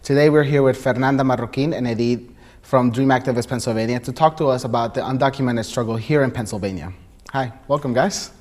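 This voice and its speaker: A man's voice: medium pitch, fast, a little mellow. A man hosting an interview, friendly and mixing Spanish phrases into his questions. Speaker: host. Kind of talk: interview host.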